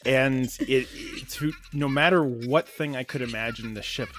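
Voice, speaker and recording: A man's voice: mid-range voice, normal speed, a bit bright, slight background noise. A man chatting casually as a creative guest in a conversational interview. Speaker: podcast guest, audio drama creator.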